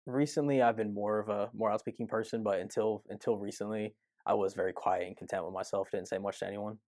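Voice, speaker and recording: A man's voice: lowish voice, fairly even intonation, darkish tone, no echo, studio quality. A man who stutters, speaking openly about it in a documentary interview. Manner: stutters, talks openly and quickly.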